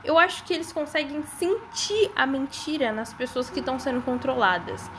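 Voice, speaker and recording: A woman's voice: high-pitched, moderate pace, noisy background. A young woman with a Brazilian accent giving casual, speculative commentary on a horror series in an informal, conversational way. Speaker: video creator. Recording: voice-over with music behind it. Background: background music.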